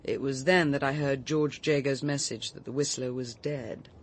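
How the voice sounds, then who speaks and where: An actress in a radio drama playing a self-possessed woman, speaking with a brisk, precise British delivery. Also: rumbling low, fairly even intonation, slight background noise. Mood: brisk, composed. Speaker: actor.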